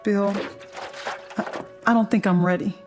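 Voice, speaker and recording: A woman's voice: mid-range voice, fairly even intonation, measured pace, faint music behind, lightly acted. An American woman playing a frightened, despairing wife and mother in a radio drama, fretful and faltering as she speaks. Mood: weary fear.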